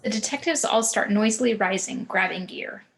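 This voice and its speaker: A woman's voice: mid-range voice, normal speed, a bit bright. A woman with a mid-range voice reads stage directions aloud. It is scripted narration for a table read, with an occasional stumble.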